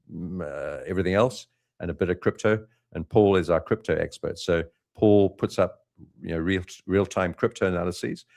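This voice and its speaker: Low-voiced man hosting a live trading stream. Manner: relaxed, rambling with frequent fillers and restarts. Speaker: trading channel host. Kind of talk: live market update with co-host.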